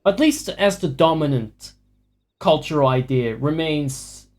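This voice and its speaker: A man's voice: fairly high pitch, lively intonation, a little slow. A man livestreaming a video game, giving casual commentary in a profane, sarcastic, ranting way. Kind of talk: casual live gameplay commentary.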